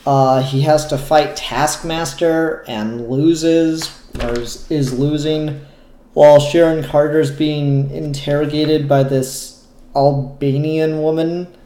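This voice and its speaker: A man's voice: on the high side, steady tone, takes it slowly, warm tone, a little hiss or hum. A man hosting a casual, unscripted review vlog, talking in a candid, rambling way with the odd swear word. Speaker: comic book reviewer, show host. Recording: echoey room.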